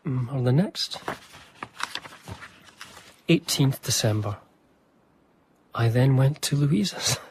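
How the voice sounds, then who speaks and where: An actor playing an eager, reverent young gentleman diarist in a radio drama, speaking formally and effusively. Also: medium pitch, lively intonation, takes it slowly, pauses often, a little hiss or hum. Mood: musing, eager. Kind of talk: radio drama dialogue and diary narration.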